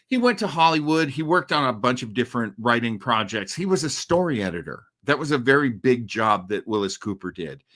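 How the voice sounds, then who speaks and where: A man's voice: medium pitch, fairly bright tone. A man hosting a livestream with casual, conversational commentary, rambling and a little hesitant. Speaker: livestream host. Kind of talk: casual commentary on old radio.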